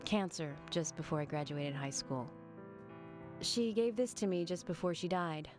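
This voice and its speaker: A gentle young woman voiced by an actress in a video game cutscene. She speaks in a tender, wistful, earnest way. Music plays clearly underneath her voice. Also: lowish voice, lively intonation, moderate pace, close to an everyday voice. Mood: fond, wistful. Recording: music playing behind the voice. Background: game soundtrack music.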